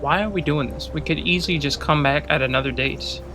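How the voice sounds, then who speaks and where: A man's voice: fairly high pitch, brisk, over music. A man giving casual, sweary commentary as he plays a game, grumbling and sarcastic. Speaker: game streamer.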